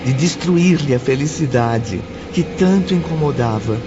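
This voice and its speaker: A man's voice: a little high, expressive, a little slow. A man narrating a dramatic audiobook in a scripted storytelling style. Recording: dull lo-fi, music behind.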